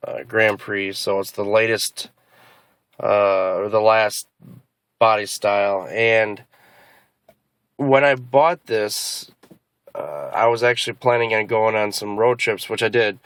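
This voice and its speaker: A man with a slightly deep voice talks in a casual, explanatory vlog style. His delivery is halting and unscripted, as he works out his wording while he goes. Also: clear, resonant tone, dry recording. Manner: halting, hesitant, thinking aloud. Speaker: car vlogger. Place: inside a car.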